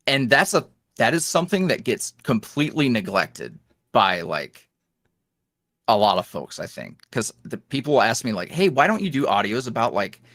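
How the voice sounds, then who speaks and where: A man's voice: medium pitch, big pitch swings, moderate pace, a bit bright, no echo. A man speaking casually and candidly as an interview guest, tossing off self-deprecating asides. His voice moves up and down a lot, and the close microphone catches it dry and clean. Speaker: guest, ASMR roleplay creator.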